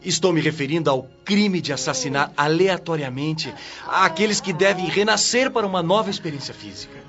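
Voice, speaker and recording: A man's voice: on the high side, animated, fairly quick, fairly bright tone, dull audio, soft background music. Stern watchman, dramatically swooping delivery. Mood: firm, explanatory. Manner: grave, moralizing, emphatic. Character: stern, righteous chief watchman of the spirit world. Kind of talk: radio drama acting.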